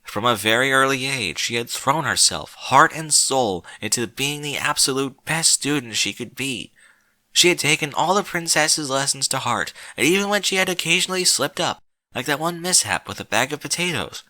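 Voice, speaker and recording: A man narrating a story in a slow, solemn, dramatic way with deliberate pauses. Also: medium pitch, bright, forceful tone, no echo.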